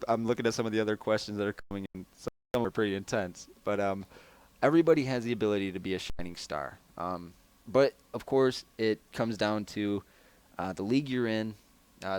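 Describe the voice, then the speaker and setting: A man with a low, raspy baritone voice hosting a podcast, chatting loosely as he answers listener questions over a headset mic. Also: fairly quick, darkish tone, no room sound. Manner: loose, rambling delivery. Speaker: podcast host.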